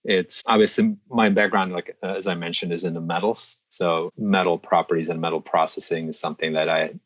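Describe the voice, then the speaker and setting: A man's voice: low voice, fairly even intonation, a bit bright, dry recording, muffled recording. A middle-aged man from the Czech Republic, a designer and metalsmith, giving thoughtful interview answers with frequent restarts. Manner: thoughtful, restarts often, measured.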